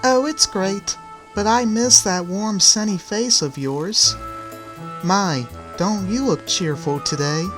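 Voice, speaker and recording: A man voicing a kindly, protective female tank engine in a children's railway story, with a gentle, teasing manner. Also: high-pitched, slow pace, music in the background, pitched above the performer's own voice. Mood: cheerful, teasing.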